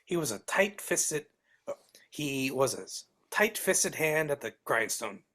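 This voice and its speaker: A woman playing Charles Dickens as a genial storytelling narrator in a live dramatic reading, with a husky voice and theatrical delivery. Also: low-pitched, big pitch swings, a little slow, a bit bright, heavily acted. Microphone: video call. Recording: online video call track.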